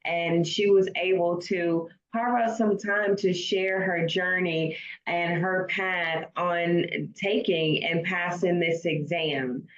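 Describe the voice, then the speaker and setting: A woman's voice: fairly low pitch, steady tone, slow pace. A woman coach hosting an interview, talking in an encouraging, affirming way. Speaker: coach and host. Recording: echoey, dull lo-fi call audio.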